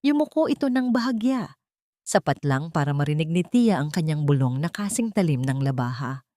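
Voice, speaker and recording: A woman with a Filipino accent narrating a dramatic audiobook with expressive storytelling. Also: deep voice, moderate pace, no echo, studio quality.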